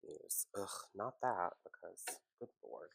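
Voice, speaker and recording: Congested deep-voiced man, sniffly and nasal. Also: slow pace, gravelly, husky, no room sound. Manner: chatty, relaxed, self-deprecating asides. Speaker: home baking host. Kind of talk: casual cooking tutorial.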